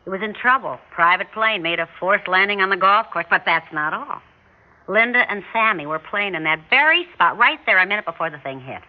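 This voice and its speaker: An American actress playing a chatty, excitable suburban neighbor in an old-time radio drama, brisk and emphatic. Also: female voice, medium pitch, close to an everyday voice. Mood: excited. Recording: thin, narrow-band vintage radio recording.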